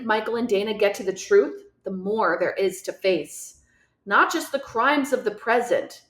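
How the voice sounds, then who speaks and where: A woman reading a novel aloud in an expressive, dramatic, teacherly way, as host of a read-aloud. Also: medium pitch. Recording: roomy, echoey room sound.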